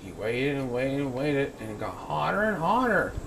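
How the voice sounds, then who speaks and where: Animated young man reading a children's story. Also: medium pitch, normal speed, vocal fry, background noise. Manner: playful, acts out sound effects. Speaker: storyteller reading a picture book. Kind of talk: children's read-aloud narration.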